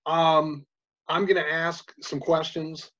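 A man's voice: on the high side, flat delivery, slow pace, a bit bright, highs cut off. A man hosting a casual interview with a band, speaking hesitantly with many fillers.

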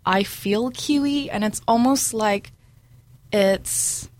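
A woman's voice: medium pitch, slow pace, darkish tone, no echo. A woman in her twenties from Brunei, a junior doctor, chatting casually as a guest with many ums and frequent laughs. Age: 20s. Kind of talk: casual interview chat.

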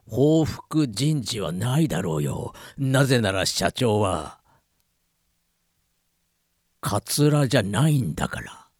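A man playing a skeptical, hard-boiled fellow inmate who talks in a measured, rough and pointed way in a radio comedy. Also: medium pitch, no room sound, studio quality. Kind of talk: comic radio drama acting.

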